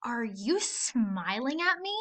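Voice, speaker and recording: A woman voicing a big, mean bully girl in a storybook, taunting and forceful. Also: a little high, very animated, slow pace, heavily acted. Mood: rattled, defiant.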